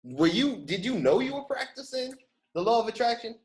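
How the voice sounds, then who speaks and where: Lively American man hosting a casual podcast. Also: extremely high pitch, fairly quick. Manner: slangy, interjects affirmations, repeats questions emphatically. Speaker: podcast host. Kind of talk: casual interview chat.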